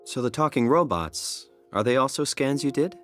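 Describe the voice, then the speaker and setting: A man's voice: mid-range voice, expressive, normal speed, fairly bright tone, soft background music, lightly acted. An actor playing a bewildered, earnest everyman in a video game, with a questioning, hesitant manner. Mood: puzzled. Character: bewildered, earnest everyman whose mind is in a machine body.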